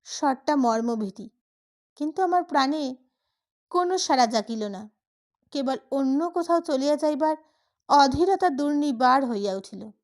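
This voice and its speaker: A woman narrates a literary story. Her voice is high, and she reads in a dramatic storytelling style suited to an audiobook. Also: animated, unhurried, long pauses.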